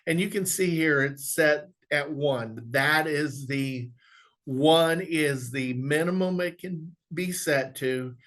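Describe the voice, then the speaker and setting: A man presenting an instructional software walkthrough, explaining step by step in a conversational way. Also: fairly high pitch, takes it slowly. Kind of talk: instructional screen walkthrough, informal webinar.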